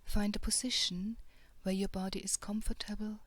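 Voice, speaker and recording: A woman guiding a body scan meditation in a soft, hushed, measured way with long pauses. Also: medium pitch, little pitch movement, dry recording. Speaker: meditation guide.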